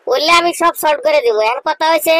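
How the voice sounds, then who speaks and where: A man voicing a scheming, pushy village bachelor in a comic cartoon, with a pitched-up voice and a rural regional Bengali accent, talking in a colloquial, badgering way. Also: a little fast, pushed and bright, slight background noise. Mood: pushy, scolding.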